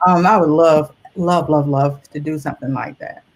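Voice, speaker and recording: A woman's voice: sits low, steady tone, normal speed, rough recording. Woman illustrator in casual interview. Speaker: illustrator and artist, guest.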